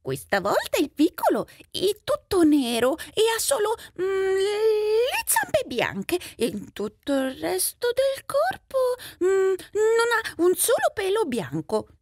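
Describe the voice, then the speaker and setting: A woman's voice: very high-pitched, wildly swooping pitch, slow pace, a little mellow, no echo, studio quality, voice pushed up, heavily acted. A woman voicing a sly, greedy male cat in a fairy tale, peppering the speech with meows and hums. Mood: wheedling.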